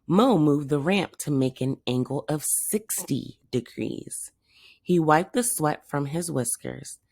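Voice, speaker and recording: Woman, low voice, slow expressive storybook narration, creaky edge, teacher reading aloud. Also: dry recording, studio quality.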